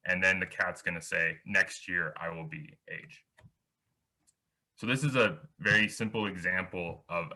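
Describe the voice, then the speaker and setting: A man's voice: low-pitched, bright, forceful tone, low-quality recording. A young man giving a beginner programming workshop over slides, explaining step by step with small restarts. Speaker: workshop instructor.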